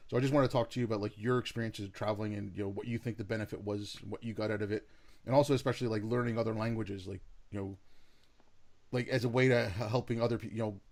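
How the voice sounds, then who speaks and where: A man hosts a podcast and introduces his guest in a casual, friendly way. His voice sits a little low, and he rambles, filling his sentences with "like" and "you know". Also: steady tone, fast, darkish tone. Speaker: podcast host. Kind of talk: casual interview.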